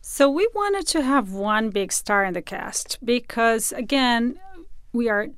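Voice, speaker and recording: A woman being interviewed as an audio drama creator, explaining things in a casual, animated way. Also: fairly high pitch, unhurried, no echo, clean studio sound.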